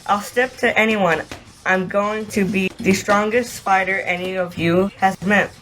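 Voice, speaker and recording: A woman's voice: medium pitch, fairly even intonation, unhurried, a bit bright, close to an everyday voice, low-quality recording. With music underneath, a boy voiced by a woman speaks with terse defiance. He is young, cocky and eager to fight, a character in an audio drama. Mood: defiant.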